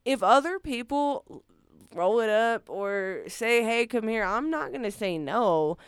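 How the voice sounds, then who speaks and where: A woman's voice: fairly high pitch, expressive, clean tone, no room sound, studio quality. A woman chatting as a guest on a radio show, enthusiastic and talkative. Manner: chatty, enthusiastic, quick laughs. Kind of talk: casual radio conversation.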